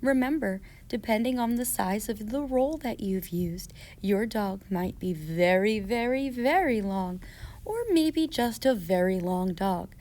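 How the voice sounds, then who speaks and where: A woman's voice: mid-range voice, expressive, a little slow, a little mellow. A woman giving scripted, step-by-step craft instructions for children in a patient, playful way.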